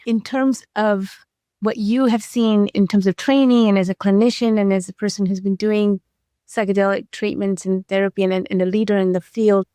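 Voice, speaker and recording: Female interview host, slightly low and level voice, deliberate phrasing, reflective style. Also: steady tone, warm tone, clear, resonant tone, no room sound, clean studio sound. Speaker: podcast co-host, clinician.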